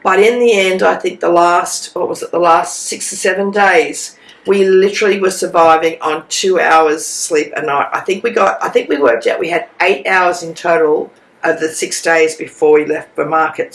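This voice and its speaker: An Australian woman with a cold, croaky and sleep-deprived, chatting and rambling as she co-hosts a stitching vlog from a hotel room. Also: on the low side, normal speed, roomy sound, rough recording. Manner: chatty, rambling, warm asides. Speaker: co-host. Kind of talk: casual chat.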